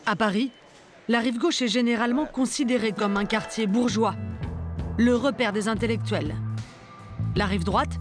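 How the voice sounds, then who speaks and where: A woman reading a documentary voice-over in a measured, even way. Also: a little high. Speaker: documentary narrator. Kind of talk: scripted voice-over narration. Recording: studio voice-over with music underneath.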